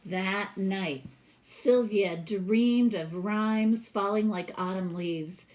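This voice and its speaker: A woman reads a children's picture book aloud at a slow, measured pace. Her pitch sits in the middle range. She delivers the story expressively, like a teacher, and steps out of it casually to explain the pictures. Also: darkish tone. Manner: pauses to explain the pictures to listeners.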